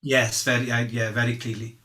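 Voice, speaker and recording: A man playing a candid, humble fisherman giving halting, conversational eyewitness testimony in a courtroom drama. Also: medium pitch, little pitch movement, pushed and bright, close to an everyday voice. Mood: plain, hesitant. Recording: roomy, echoing sound.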